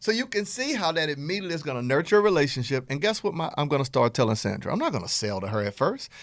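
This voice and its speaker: Animated Southern American man coaching. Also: on the high side, racing, no room sound, clean studio sound. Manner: energetic, animated, folksy with jokes and laughs. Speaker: business coach. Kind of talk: direct-to-camera teaching talk.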